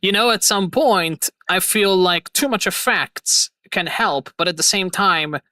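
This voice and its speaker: A man in his twenties hosting a casual livestream chat, excitable and rambling, laughing often. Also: high-pitched, unhurried, no room sound. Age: 20s. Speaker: host.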